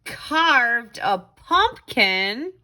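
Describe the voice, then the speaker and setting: A woman's voice: a little high, big pitch swings, very slow, sharp tone. A woman tutor reading to children in a sing-song storytelling style, with chatty asides. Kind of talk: expressive read-aloud and craft lesson for children.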